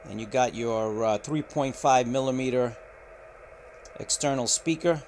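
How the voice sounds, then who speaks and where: A man in an indoor room, describing gear at an unhurried pace and pausing often with fillers. His voice sits at an ordinary middle pitch for a man. A faint hiss of room noise runs underneath the camera-mic recording. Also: a little mellow. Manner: unhurried, many filler pauses. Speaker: radio reviewer. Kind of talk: unboxing walkthrough.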